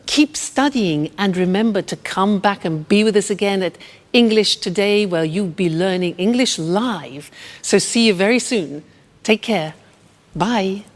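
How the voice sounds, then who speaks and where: Slow, patient woman teaching English. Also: mid-range voice, big-room sound. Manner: patient, spells things out. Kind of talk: slow, clear classroom explanation.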